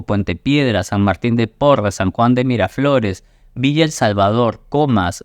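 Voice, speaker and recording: A young man, host of an educational video, narrating in a clear and measured teaching manner. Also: medium pitch, darkish tone, no echo, studio quality. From Peru. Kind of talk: scripted explainer narration.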